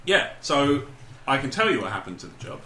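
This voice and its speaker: A man's voice: mid-range voice, very animated, brisk, bright, forceful tone, soft background music. A man co-hosting a podcast, chatting casually and comically. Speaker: podcast co-host. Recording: echoey room with faint noise.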